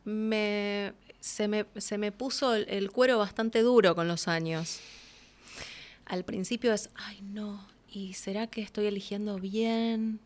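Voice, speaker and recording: A woman's voice: mid-range voice, fairly even intonation, slow. A woman with an Argentine accent speaking as an interview guest, casual and reflective, acting out little quotes as she explains.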